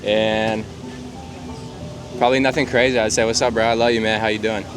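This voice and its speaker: A man's voice: lowish voice, level tone, brisk, music in the background. A man answering street interview questions in casual, slangy speech.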